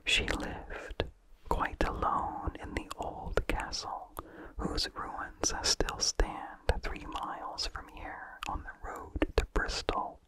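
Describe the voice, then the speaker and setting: A narrator reading a vintage horror story aloud in a soft, measured ASMR whisper. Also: poor audio.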